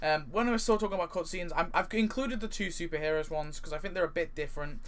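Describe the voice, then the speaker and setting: A man's voice: on the high side, quick pace, a bit bright. A man giving casual, rambling ranking commentary as a video host, often hedging and repeating himself.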